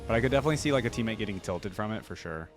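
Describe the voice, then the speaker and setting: A man with a fairly low voice reacts casually as host of a video. He muses aloud and murmurs short responses, with faint music playing behind him. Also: animated, rapid-fire.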